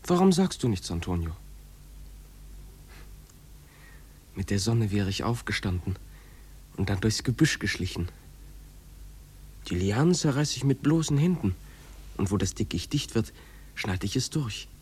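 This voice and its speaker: A man's voice: fairly low pitch, big pitch swings, normal speed, long pauses, a little mellow, breathy edge, exaggerated voice. An actor playing an eager, dreamy runaway boy in a 1950s German radio drama, speaking with fervent, pleading delivery.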